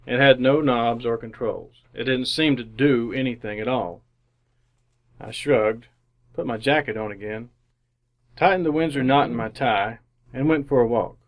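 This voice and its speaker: An actor playing an awkward, lonely city bachelor in an old-time radio drama, halting and bemused as he talks and narrates. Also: mid-range voice, muffled recording, close to an everyday voice. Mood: wistful narration.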